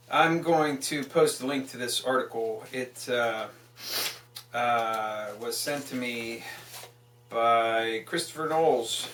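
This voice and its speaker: A man's voice: a little high, a bit bright. A man hosting his own online show, speaking in an emphatic, profane way. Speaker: online radio show host. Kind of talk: political rant and show announcements. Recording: roomy echo, distant from the mic.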